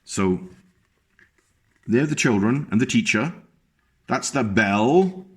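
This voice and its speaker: A man reading a picture book aloud to young children, animated and stopping to explain the pictures to his small listeners. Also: mid-range voice, slow, slightly rough.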